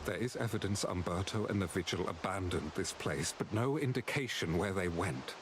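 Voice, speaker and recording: An actor playing a stern, resolute knight in a video game, speaking formally and earnestly. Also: male voice, low voice, moderate pace, close to an everyday voice. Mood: solemn, resolute. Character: stern, resolute male knight sworn to an oath. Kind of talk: scripted video game dialogue. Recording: noisy game ambience behind dialogue.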